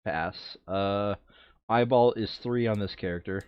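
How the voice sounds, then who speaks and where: A man's voice: lowish voice, a little slow, smooth, dry recording, muffled recording. A man playing a board game, chatting casually and bluntly with some swearing and reading game text aloud. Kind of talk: casual game table chat, some read-aloud narration.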